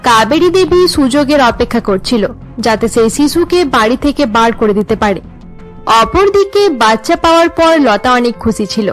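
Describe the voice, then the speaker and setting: A woman's voice: a little high, animated, moderate pace, faint music behind. A woman reading scripted narration for a cartoon family story.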